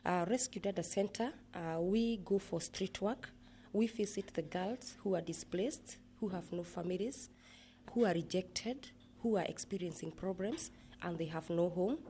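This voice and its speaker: Kenyan woman interviewee, lowish voice, measured and earnest, faint background noise. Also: dark tone. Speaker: interviewee, project manager at a rehabilitation center. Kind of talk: interview answers, explanatory.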